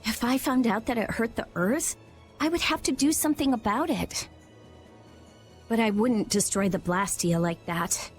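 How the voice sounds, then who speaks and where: A woman's voice: a little high, normal speed, close to an everyday voice. Woman voicing smart blunt game girl researcher, short fuse, music under. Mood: analytical, pensive. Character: brainy young woman researcher, blunt and hot-tempered. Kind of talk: English game cutscene voice acting. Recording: music behind the voice.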